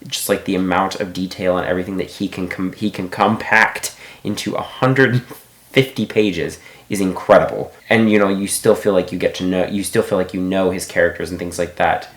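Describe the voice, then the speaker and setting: A man's voice: deep voice, steady tone, brisk, roomy sound. A man giving a casual book review, thinking aloud with many restarts and asides.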